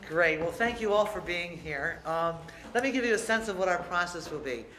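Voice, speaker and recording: A man moderating a university panel in a relaxed, loose way, with many fillers. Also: high voice, brisk. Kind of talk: casual introductions at a panel. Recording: echoey room, distant mic, faint noise.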